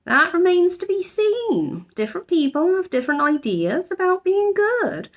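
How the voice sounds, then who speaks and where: A woman voicing a stern but soft-hearted housekeeper in a storybook, imperious and deliberate in her delivery. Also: very high voice, very animated, pitched above the performer's own voice. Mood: guarded.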